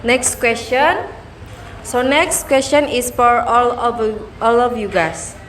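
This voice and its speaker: A woman's voice: fairly high pitch, takes it slowly, fairly bright tone, low-quality recording. A young woman hosting a student talk show, reading her lines in a plain scripted way.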